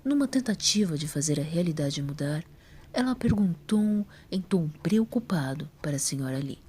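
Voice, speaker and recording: Low-pitched Brazilian woman narrating, mellow. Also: animated, moderate pace, a little hiss or hum. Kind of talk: scripted story narration.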